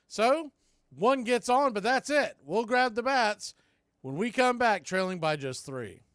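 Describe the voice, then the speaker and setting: A man's voice: high-pitched, lively intonation, measured pace, fairly bright tone, no room sound, clean studio sound. A man doing live radio play-by-play of a baseball game as the announcer, in short clipped phrases. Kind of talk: live radio sports commentary.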